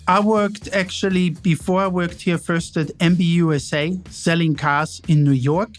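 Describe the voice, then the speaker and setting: Man, Austrian accent, high voice, plainspoken, interview answers. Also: steady tone, moderate pace, faint music behind. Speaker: stadium operations chief. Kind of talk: interview remarks.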